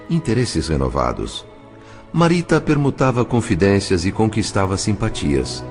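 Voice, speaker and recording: Low-voiced Brazilian male narrator over faint music. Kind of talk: scripted dramatic novel narration.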